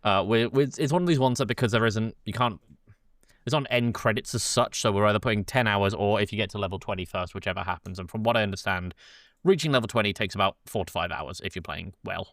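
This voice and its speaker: A man with a slightly low, smooth voice hosts a casual discussion. He explains in a loose, halting way, correcting himself as he goes, and the sound is close and dry. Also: fairly bright tone, dry recording. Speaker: podcast host. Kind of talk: casual chat with loose, informal summarising.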